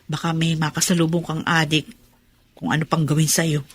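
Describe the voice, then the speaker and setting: A woman's voice: low-pitched, flat delivery, moderate pace, husky. A woman playing a concerned, nagging older village neighbour in a Filipino radio drama, fussy and cautioning. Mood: fussing, concerned.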